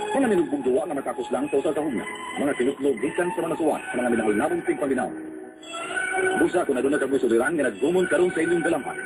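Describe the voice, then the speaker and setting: A man's voice: medium pitch, fast, poor audio. A man presenting a scripted introduction to a radio drama program. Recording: loud music under radio broadcast. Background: loud music.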